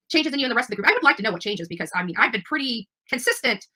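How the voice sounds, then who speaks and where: A woman's voice: on the high side, very animated, fast, sharp tone, slightly rough. A woman hosting a channel, talking casually to her audience in a rambling, emphatic, defensive way. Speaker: livestream channel host. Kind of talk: casual livestream monologue to audience.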